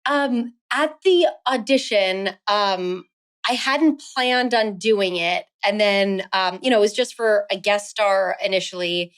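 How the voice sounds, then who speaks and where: A woman's voice: mid-range voice, measured pace, clean tone. Chatty woman telling stories. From New Jersey. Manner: animated storyteller, bright and chatty. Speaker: actress guest. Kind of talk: casual interview chat.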